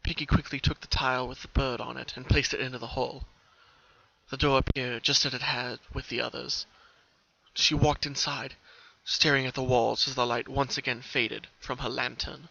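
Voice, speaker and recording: Creaky-voiced man reading a dark story. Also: mid-range voice, flat delivery, moderate pace. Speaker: fan fiction reader. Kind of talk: dramatic scripted story narration. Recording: dull, muffled home recording.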